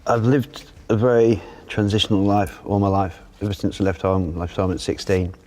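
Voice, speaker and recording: A man's voice: on the low side, level tone, moderate pace, dark tone. A middle-aged man with a British accent, speaking casually and reflectively as he answers interview questions. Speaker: housing tenant being interviewed.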